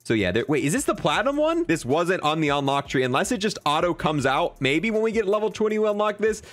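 A man's voice: fairly high pitch, big pitch swings, quick pace, a bit bright, no echo. A young man giving casual, enthusiastic, slangy commentary as he reviews a video game. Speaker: gaming video creator.

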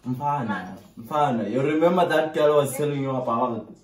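A young South African man talks in loose street slang, pleading and exasperated as if on a call to a friend. His pitch is average for a man. He sounds a little way from the mic in a small, echoey bedroom. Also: moderate pace. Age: 20s. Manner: animated, exasperated, pleading, swearing. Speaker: prankster. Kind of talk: casual slangy prank phone call. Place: small bare bedroom indoors. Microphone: camera a short distance away. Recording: roomy echo, distant from mic.

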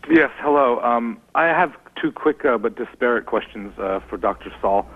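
A man's voice: mid-range voice, lively intonation, moderate pace. A man calling in to a TV show, asking questions in a hesitant way with many fillers. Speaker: caller. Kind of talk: call-in question. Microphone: telephone. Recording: tinny phone line.

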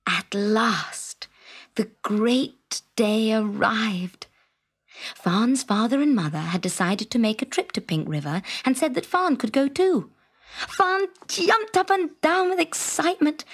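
A woman narrating a scripted children's story in an expressive, dramatic storytelling manner. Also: on the high side, takes it slowly. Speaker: storyteller, audiobook reader.

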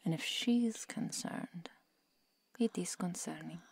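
A woman playing a protective, coolly menacing vampire seductress in a hushed, deliberate, coaxing ASMR roleplay whisper. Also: on the low side, creaky, breathy edge, no echo, full character voice. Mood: concerned.

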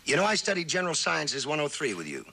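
An actor playing a cocky, wisecracking novelist and charmer in a jaunty, teasing, theatrical style of old television drama. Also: male voice, a little high, huge pitch swings, fast, gravelly, bright, forceful tone, exaggerated voice. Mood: wry, flirtatious. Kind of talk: 1960s television drama acting. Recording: old TV soundtrack, faint hiss.

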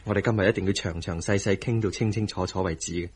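An actor playing an assertive, affectionate young husband in a Hong Kong Cantonese radio drama, husky and quietly insistent. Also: male voice, low-pitched, steady tone, fast. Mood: intimate, insistent.

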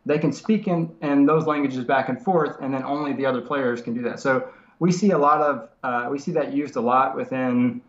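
A man in his thirties with a nasal voice from a cold, explaining software casually over a video call from his home study, with many fillers and restarts. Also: mid-range voice, normal speed. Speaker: software developer guest. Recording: roomy lo-fi video call audio.

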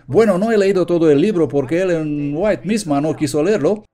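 A man's voice: on the high side, normal speed, mellow. A man giving an earnest, emphatic sermon, reading quotations aloud from his notes. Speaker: preacher. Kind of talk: sermon read from notes, Spanish voice-over.

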